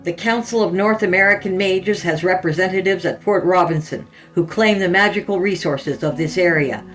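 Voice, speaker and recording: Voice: medium pitch, normal speed, fairly bright tone, soft background music, pitched above the performer's own voice, lightly acted, rough recording. A narrator playing a firm, defiant male army sergeant with a formal, authoritative manner.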